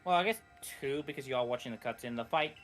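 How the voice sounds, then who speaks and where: A man's voice: medium pitch, fast, faint music behind. A man co-commentating on a video game, casually explaining details as they come.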